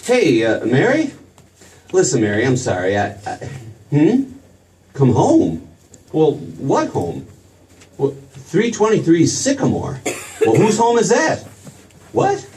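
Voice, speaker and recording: Man playing earnest young small-town loan man, stammering, animated high voice, radio drama, picked up from a distance. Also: slow pace, long pauses, full character voice. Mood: hesitant. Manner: stammering, pleading, repeats himself. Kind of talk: 1940s radio drama acting. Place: stage production. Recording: distant stage mic, faint noise.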